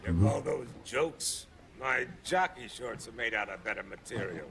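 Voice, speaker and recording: An actor voicing a crass, mouthy, menacing ventriloquist dummy in TV comic horror, gravelly, brash and heckling. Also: male voice, on the low side, lively intonation, moderate pace, noisy background. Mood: mocking. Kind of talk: television comic horror acting.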